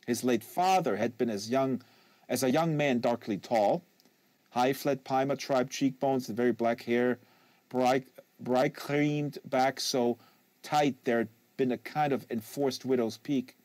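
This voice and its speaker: A man's voice: medium pitch, steady tone, unhurried, darkish tone, no echo. A man narrates a literary novel in a mid-range voice. He is close to a studio microphone, and the sound is dry, with very little room in it. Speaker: audiobook reader and host. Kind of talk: live literary novel reading, scripted narration. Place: small acoustically treated home studio.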